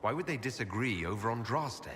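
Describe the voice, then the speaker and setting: A man as a bright-voiced, inquisitive elf hero. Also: mid-range voice, lively intonation, natural voice. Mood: curious. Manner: direct, inquisitive, measured. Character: curious, polite young-adult male elf hero. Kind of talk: video game dialogue acting. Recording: reverberant hall, faint background noise.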